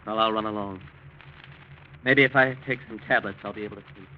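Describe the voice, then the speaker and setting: A man's voice: fairly low pitch, fairly even intonation, brisk, slight background noise, close to an everyday voice. Guy acting a nervous young rich fella in old radio drama, faltering, dull vintage recording. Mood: weary. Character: spineless, anxious young rich man.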